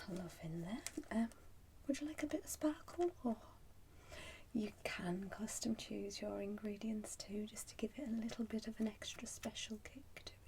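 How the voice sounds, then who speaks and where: A woman whispering softly and breathily in an ASMR roleplay, pausing often as she addresses the listener as a customer. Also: medium pitch, background noise. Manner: slow, pausing, unhurried. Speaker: ASMR performer playing a soup shop keeper.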